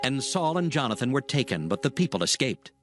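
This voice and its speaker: A man's voice: mid-range voice, sing-song, a little fast, music in the background. A man narrating a dramatized scripture recording as a solemn storyteller.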